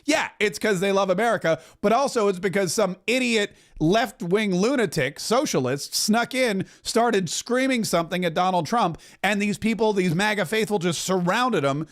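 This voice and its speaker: A man in his forties hosting a political commentary podcast, talking emphatically and rambling, now and then breaking into loud singing. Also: sits high, lively intonation, normal speed, few pauses.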